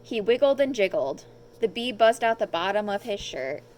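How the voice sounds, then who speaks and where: A woman's voice: mid-range voice, very animated, normal speed, a little mellow, slight background noise, poor audio. A woman narrating a children's storybook from a script as the reader.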